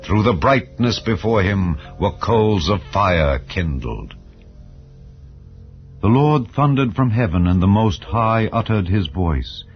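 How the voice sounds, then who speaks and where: A man with a low, deep voice reads scripture as an audiobook narrator. His delivery is solemn, measured and declamatory, shaped for archaic English. The recording is slightly dull and lo-fi, with soft music playing underneath. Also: animated. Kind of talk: solemn dramatized scripture reading. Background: background music.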